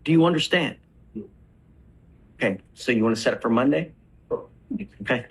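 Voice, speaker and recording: A man's voice: medium pitch, moderate pace, slightly rough. A man with an American accent speaking as a judge from the bench, blunt and emphatic in a courtroom.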